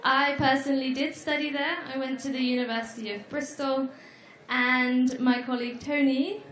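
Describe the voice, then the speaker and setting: A young woman with a fairly high voice gives an easygoing talk at a fair. She speaks to the crowd in a friendly way, with small ums between points, and her voice rings through a large echoey hall. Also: steady tone, a bit bright. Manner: friendly, explains slowly with fillers. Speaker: presenter. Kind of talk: informal presentation at an education fair.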